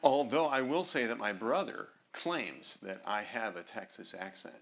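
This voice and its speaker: A man hosting a conversational interview, friendly and quick with short "yeah" and "mm-hmm" replies. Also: lowish voice, lively intonation, vocal fry, a bit bright, slight background noise. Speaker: interviewer, series host.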